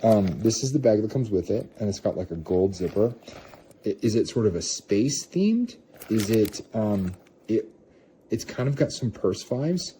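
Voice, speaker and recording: Deep, very mellow man rambling through a review. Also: animated, a little slow, a little hiss or hum. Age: adult parent of a young child. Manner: rambling, self-questioning, hedging. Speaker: product reviewer. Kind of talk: casual off-the-cuff product review.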